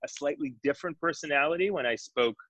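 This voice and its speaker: A man's voice: fairly high pitch, fast, a bit bright, no echo, highs cut off. A man from Israel chatting casually as co-host, thoughtful and hesitant, with frequent fillers. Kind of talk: casual chat.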